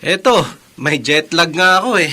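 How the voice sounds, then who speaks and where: An actor playing a breezy young architect bantering with a friend in a radio drama. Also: male voice, on the high side, animated, normal speed, pushed and bright, dry recording. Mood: jovial, bantering. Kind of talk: Tagalog radio drama acting.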